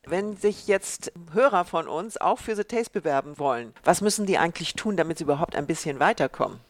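Podcast host, mid pitch, dry close mic, asks prepared questions. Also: expressive, brisk, no echo. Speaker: podcast interviewer. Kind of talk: scripted interview questions.

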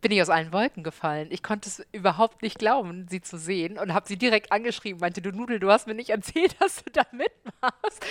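Woman in her 30s, Hamburg accent, chef, slightly low voice, eager and chatty in interview. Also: no room sound. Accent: Hamburg German. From Hamburg, Germany. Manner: lively, enthusiastic storyteller. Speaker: chef and restaurant owner. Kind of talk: casual interview answers.

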